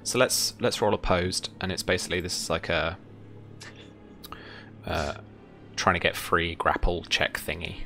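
A young man running a tabletop roleplaying game, narrating and talking through rules casually in a halting, repetitive way. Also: sits low, steady tone, pushed and bright, faint music behind. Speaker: game master. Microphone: headset or desk mic, seated indoors on a video call.

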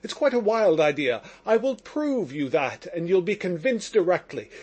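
A man's voice: high voice, big pitch swings, a little mellow. A man voicing an agitated, slightly drunk young man under suspicion, talking nervously and effusively. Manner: nervous, rapid, pleading.

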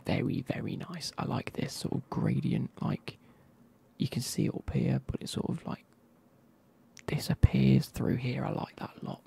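A man, reviewing football kits in a soft, casual whisper with wry asides. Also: mid-range voice, animated, moderate pace, creaky, husky.

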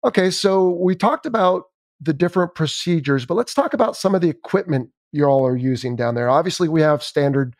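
A man's voice: on the high side, expressive, brisk, no echo, studio quality. A man hosting a podcast interview in a casual, wry, conversational way, talking like a former military pilot. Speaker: podcast host, former Navy fighter pilot.

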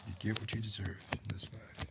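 A man in middle age playing a menacing, sardonic backwoods crime-family man in an ASMR roleplay, gravelly and hushed, with slow folksy phrasing. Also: on the low side, flat delivery. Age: middle-aged. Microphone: close ASMR microphone. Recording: close ASMR mic, loud hiss, clicks.

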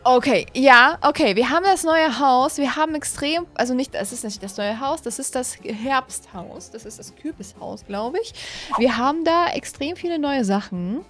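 A woman in her twenties giving casual, excited reaction commentary as a gaming host, exclaiming and repeating herself into a close desk mic. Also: high-pitched. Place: small home streaming room, indoors. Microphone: desk condenser microphone, close.

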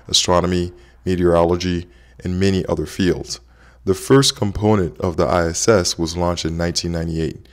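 Low-voiced man in his thirties. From Haiti. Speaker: host, app co-founder. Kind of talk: casual explainer vlog narration.

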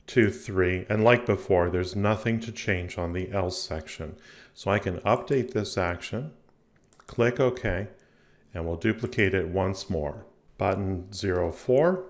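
Low-pitched man narrating a software tutorial. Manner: methodical, explanatory. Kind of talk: step-by-step screencast tutorial narration. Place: indoor voice-over recording for a screen capture.

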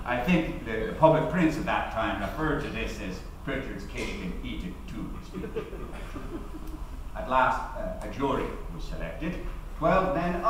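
A man's voice: fairly high pitch, pushed and bright. Man acting a defiant activist orator, echoing hall. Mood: wry, reflective. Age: middle-aged. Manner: oratorical, emphatic, deliberate phrasing. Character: defiant labour activist recounting his sedition trial. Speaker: actor giving a dramatic reading. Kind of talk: theatrical dramatic reading of a memoir speech. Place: large hall, at a lectern on stage. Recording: echoey hall, distant mic, faint noise.

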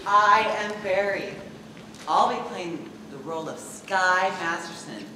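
A man's voice: sits high, slow, pushed and bright, exaggerated voice. A young man acting on stage in a school play as a teenage lead actor with a grandiose, theatrical manner. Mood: pompous. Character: pompous teenage male lead actor, Barry. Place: theatre stage, filmed from the audience. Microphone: far camera. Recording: distant, echoey, noisy theatre hall recording.

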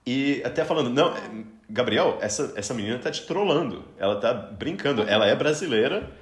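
A man's voice: medium pitch, animated, fairly quick, reverberant. A man in his thirties from Brazil hosting a casual interview, chatty, with frequent restarts and easy laughter. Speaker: podcast host interviewing a guest.

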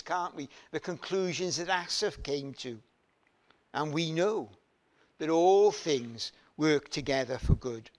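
An older man from Wales preaches from a lectern in a church hall. He talks at an unhurried pace, and his voice sits slightly higher than most men's. He stresses his points by repeating phrases. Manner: slow, emphatic preaching with rising repeated phrases and hand gestures. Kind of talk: sermon.

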